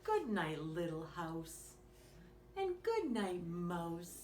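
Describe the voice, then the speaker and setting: Woman reading to little children, echoey and unhurried. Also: fairly low pitch, very animated, a little mellow. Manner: sing-song, simple questions addressed to small children. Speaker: children's storytime host. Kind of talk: read-aloud storytime and craft lesson for young children. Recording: echoey room, distant mic, faint noise.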